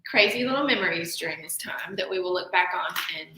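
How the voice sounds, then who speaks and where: A woman co-hosting a casual chat, animated and quick to act out quotes. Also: lowish voice, a little hiss or hum. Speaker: podcast co-host. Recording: echoey room.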